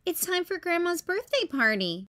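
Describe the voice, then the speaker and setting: A woman's voice: very high voice, very animated, moderate pace, sharp tone, pitched above the performer's own voice, full character voice. A woman reading a storybook as a bossy, puzzled young big-sister bunny, in an emphatic, exclaiming way. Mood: eager. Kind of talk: children's storybook read-aloud.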